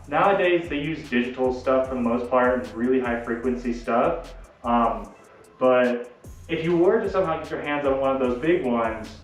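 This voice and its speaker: A man giving a casual, unscripted how-to explanation as a vlogger, in a loose, informal and slightly hesitant way. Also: medium pitch. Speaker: vlogger presenting a DIY tutorial. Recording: very echoey, distant mic, faint music.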